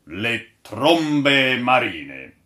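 A man's voice: mid-range voice, unhurried, sharp tone, voice pushed up. A man voicing a boastful, sardonic old sailor in a storybook, telling tales in a grandiloquent, dramatic way. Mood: grandiose, dramatic. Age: older.

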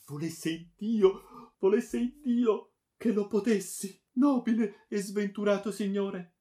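Man acting a halting, insinuating friar informer. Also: mid-range voice, animated. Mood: spiteful, sorrowful. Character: grim, spiteful male friar informer, pained and pleading.

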